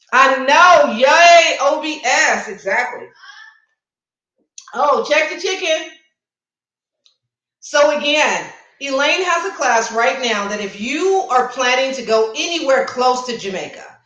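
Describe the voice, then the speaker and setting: Woman livestream host, chatty and playful, high voice, roomy echo. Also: fairly bright tone. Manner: chatty, playful, addresses viewers directly. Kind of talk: casual livestream chat with promotions.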